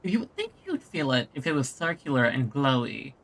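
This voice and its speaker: A woman's voice: rumbling low, big pitch swings, moderate pace. A young woman giving casual, chatty live commentary as she streams a video game. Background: game music.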